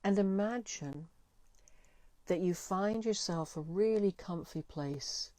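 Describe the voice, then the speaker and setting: A woman leading a guided meditation in a soothing, deliberate way, pausing between short phrases. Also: on the low side, dark tone, no echo. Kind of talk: guided meditation, gentle spoken visualisation.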